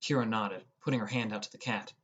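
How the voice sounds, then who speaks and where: A man's voice: on the low side, fairly even intonation, fast, a bit bright, breathy edge. A young man reading scripted first-person narration as an audiobook narrator.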